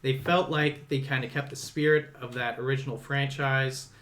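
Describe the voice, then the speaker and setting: Conversational male podcast host, mid-pitched voice. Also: fairly even intonation, normal speed, fairly bright tone. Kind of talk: casual film discussion.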